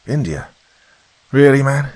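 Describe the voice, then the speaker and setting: A man's voice: low voice, expressive, normal speed, a bit bright, husky, no room sound, full character voice. A man voicing an eager young British lance corporal with a cheeky, teasing manner in an audiobook. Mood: mock hurt. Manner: playful, teasing, feigning shock.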